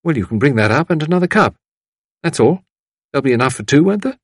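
A man voicing a brisk, kindly, commanding mistress of the house, reassuring in manner, as an audiobook character. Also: fairly high pitch, expressive, breathy edge, dry recording, clean studio sound, pitched above the performer's own voice.

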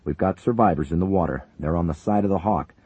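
A man voicing a gruff male helicopter major who barks orders and shouts over the noise in combat. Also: sits low, fast talker, dry recording. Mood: barking orders. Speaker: commanding pilot.

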